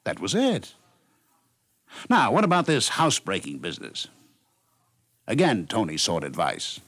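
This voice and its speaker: A man's voice: on the low side, huge pitch swings, unhurried, a bit bright, husky, no room sound. A man reading scripted storytelling narration in the manner of 1950s radio. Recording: dry 1950s radio studio recording.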